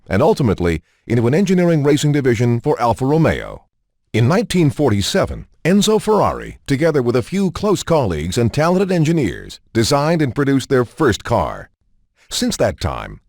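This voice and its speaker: A man reading scripted promotional narration in a smooth, measured way. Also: medium pitch, a little mellow, no echo, studio quality.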